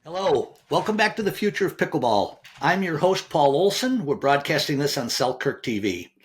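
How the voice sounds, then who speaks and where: A man's voice: a little high, sing-song, moderate pace, slight background noise. A man hosting a podcast, introducing his guest and asking viewers to subscribe, eager and prone to restarting his sentences. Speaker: podcast host.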